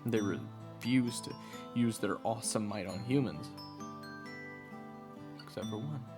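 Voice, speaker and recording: A man playing a wistful, earnest cook who tells old legends in a hushed, halting, reverent way for an audio roleplay. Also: fairly low pitch, close to an everyday voice. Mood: somber, regretful. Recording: music behind the voice.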